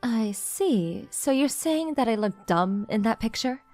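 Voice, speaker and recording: Woman acting a lilting, high-voiced, probing female CEO. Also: animated, a little slow, darkish tone, faint music behind. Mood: composed, probing. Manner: formal, probing. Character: composed female company CEO with a teasing, probing streak. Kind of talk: manga dub dialogue.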